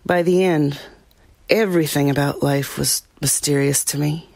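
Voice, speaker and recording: A woman's voice: deep voice, steady tone, moderate pace, gravelly, a little mellow, dry recording. An actress playing a troubled woman recounting a frightening ordeal in an audio drama, reflective and hesitant. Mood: subdued, haunted.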